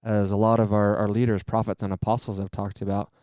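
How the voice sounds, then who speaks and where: Smooth, dark-voiced enthusiastic man. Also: fairly low pitch, little pitch movement, fast talker, no room sound, studio quality. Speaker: missionary training center manager, podcast guest. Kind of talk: earnest conversational explanation.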